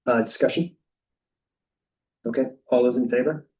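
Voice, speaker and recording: A man chairing a town board meeting, calling votes in a brisk, procedural way. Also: mid-range voice, steady tone, darkish tone, slight background noise. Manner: brisk procedural, routine phrasing. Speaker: first selectman chairing the meeting. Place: meeting room. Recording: voice from far off the mic.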